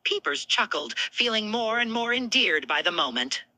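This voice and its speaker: A woman's voice: medium pitch, sing-song, moderate pace, pushed and bright, no echo. A woman reading scripted fan fiction narration as the narrator.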